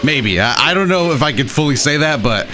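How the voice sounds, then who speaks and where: Excitable young man, lively gaming commentary. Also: on the high side, a little fast. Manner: excitable, exclaiming, self-deprecating. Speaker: gaming video host. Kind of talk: live commentary over own gameplay.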